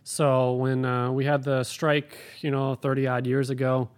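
Man, mid-pitched voice, air traffic controller, casual practical explainer, podcast guest. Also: level tone, unhurried. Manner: plain-spoken, practical explainer. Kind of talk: casual interview answers.

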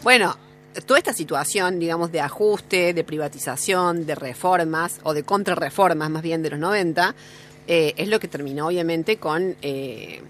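A woman with a Rioplatense Argentine accent hosting a radio show, playful and quick to laugh as she wanders off topic. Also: lowish voice, slight background noise. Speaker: radio host. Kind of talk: joking radio chat.

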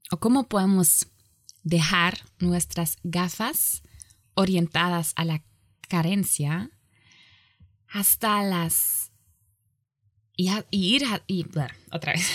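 A woman gives a lecture in a language she did not grow up with, speaking slowly and often stopping to correct herself. Her voice sits a little low, and the recording is dry and close. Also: no echo. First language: not Spanish. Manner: halting, self-correcting, with frequent pauses. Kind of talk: online lecture.

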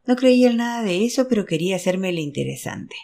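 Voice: mid-range voice, expressive, fast talker, creaky, darkish tone. A narrator reading a literary novel aloud as scripted audiobook narration. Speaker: audiobook reader.

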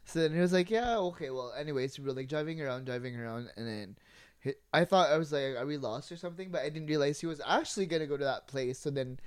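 A podcast co-host telling a personal anecdote in casual, gossipy slang with quoted dialogue, giggly and excitable. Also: medium pitch, fast talker, clean tone.

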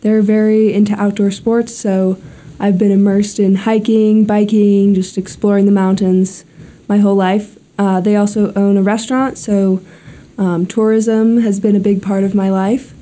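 A teenage girl talking about growing up, relaxed with hesitant fillers. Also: mid-range voice, measured pace. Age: teens. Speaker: student interviewee.

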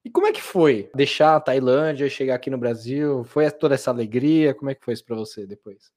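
A man's voice: medium pitch, expressive, a little fast, darkish tone. A man with a mid-range voice hosts a podcast interview. He asks friendly, conversational questions. He often ends his sentences with a checking tag question. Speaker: podcast host.

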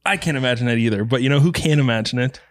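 A man's voice: medium pitch, racing. A man co-hosting a podcast who is congested and nasal from allergies, chatting loosely and laughing often. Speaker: podcast co-host. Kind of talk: casual podcast chat.

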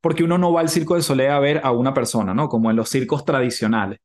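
A man's voice: mid-range voice, fast talker. A man hosting a conversational interview and putting questions to his guest. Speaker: interviewer, podcast host.

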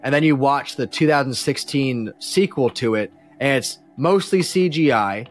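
A man's voice: medium pitch, normal speed, faint music behind. Man talking casually and enthusiastically. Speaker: podcast guest. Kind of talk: casual podcast discussion.

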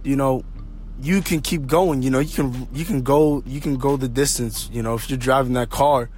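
A man with a slightly hoarse voice hosting a motivational podcast, speaking off the cuff in a halting, rambling, informal way straight to his listeners. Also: mid-range voice, steady tone, a little mellow, soft background music. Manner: halting, rambling, thinking aloud; informal, addresses listeners directly. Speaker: podcast host. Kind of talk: motivational monologue, casual and unscripted.

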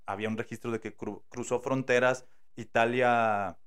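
A man with a Mexican accent, casually telling a true crime story on a podcast, slangy and animated, acting out the quotes. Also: medium pitch, moderate pace, clean tone. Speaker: podcast host.

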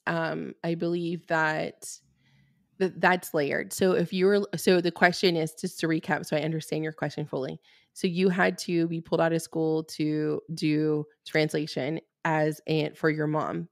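A woman's voice: low-pitched, vocal fry, no echo, studio quality. A woman who works as a Reiki fertility healer, a guest on a podcast interview. She explains her work steadily and bluntly, with frequent fillers.

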